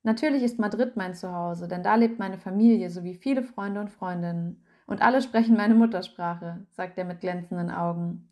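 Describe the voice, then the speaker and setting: A woman's voice: on the low side, normal speed, few pauses, a little mellow. Woman reading an article aloud. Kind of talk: scripted narration.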